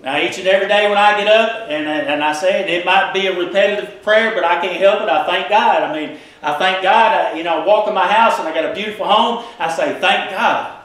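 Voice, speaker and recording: A middle-aged man, a pastor preaching a folksy, animated sermon in a small church. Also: high-pitched, brisk, few pauses. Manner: folksy, animated, gesturing widely while preaching. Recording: echoey church room.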